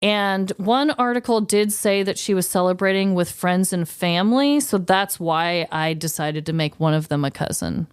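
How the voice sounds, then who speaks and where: A woman's voice: lowish voice, normal speed, hardly any pauses, clear, resonant tone, no echo, studio quality. A woman co-hosting a podcast in casual, chatty, informal conversation, with a giggly manner. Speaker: podcast co-host. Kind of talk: casual comedy true-crime podcast chat.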